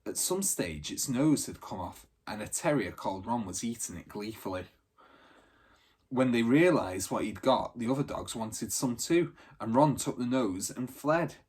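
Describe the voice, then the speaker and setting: A man reading a children's story aloud in an expressive, dramatic narrating style. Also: mid-range voice, normal speed, husky.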